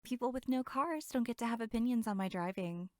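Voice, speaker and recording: A woman's voice: fairly high pitch, a little fast, dry recording, clean studio sound, lightly acted. A woman playing a brash, sarcastic young camp counselor in a horror audio drama, snarky and blunt. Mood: bickering. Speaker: voice actor.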